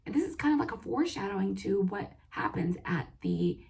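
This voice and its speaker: Hoarse woman reviewing a show. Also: mid-range voice, animated, fairly quick, a little mellow. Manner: casual, opinionated, with frequent "um" and "like". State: hoarse, losing her voice from a cold. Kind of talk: solo review monologue.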